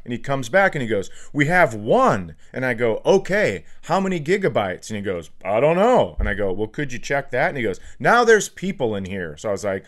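American male co-host, medium register with very varied melody, sardonic and foul-mouthed, loose comic chatter. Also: mid-range voice. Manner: sweary, sardonic. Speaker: podcast co-host. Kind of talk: casual comedic chat.

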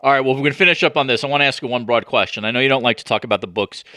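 A male podcast host with a bright, forward voice in a very dry recording. He asks his questions in a casual, wordy way, circling back to rephrase as he goes. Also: mid-range voice, rapid-fire, no echo. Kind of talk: casual sports media interview.

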